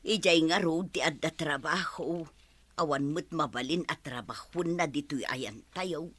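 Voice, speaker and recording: A woman's voice: low-pitched, measured pace, a bit bright, slightly rough, exaggerated voice. A woman with an Ilocano accent playing a plain-spoken, struggling village wife in a radio drama. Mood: resigned.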